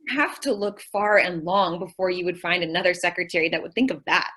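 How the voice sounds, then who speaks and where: A woman's voice: lowish voice, quick pace, bright, forceful tone, slightly rough. A woman acting a sweet, dim-witted, earnest young secretary in an old-time radio comedy. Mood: earnest, naive. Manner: naive, literal-minded, earnest.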